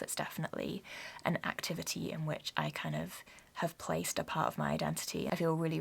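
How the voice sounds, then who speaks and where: A young woman from England, chatting casually in a home vlog, gentle and sometimes dropping to a soft whisper. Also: deep voice, vocal fry, husky. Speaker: vlogger. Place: home, sitting by a Christmas tree.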